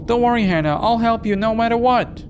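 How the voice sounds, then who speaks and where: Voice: mid-range voice, fairly quick, background noise, voice pushed up, lightly acted. A performer voicing a frightened, protective young woman in a horror game, with urgent, pleading exclamations. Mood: desperate, pleading.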